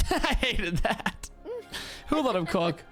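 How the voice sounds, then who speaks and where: A woman's voice: medium pitch, big pitch swings, slow pace. A young adult woman streaming a game, talking casually in a playful, slangy way. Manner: playful, slangy, reacting out loud. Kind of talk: casual gameplay commentary. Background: game music.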